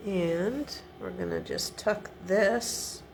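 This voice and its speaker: A woman's voice: mid-range voice, huge pitch swings, takes it slowly, vocal fry, slight background noise. A middle-aged woman giving a chatty step-by-step card-making tutorial at her home craft desk, sniffly from a cold. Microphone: overhead camera above the desk.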